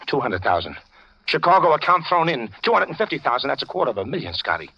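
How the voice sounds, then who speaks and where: A man's voice: medium pitch, big pitch swings, fast talker, husky, no echo. An actor playing a menacing, mocking gangster killer in a 1940s radio crime drama, with slangy tough-guy talk. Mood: anxious bargaining. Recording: dull vintage radio recording.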